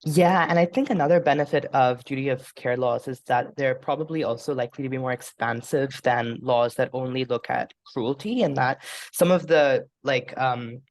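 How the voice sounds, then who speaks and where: Interviewer, mid pitch, thoughtful, hedging, lots of fillers. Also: moderate pace. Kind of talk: conversational interview.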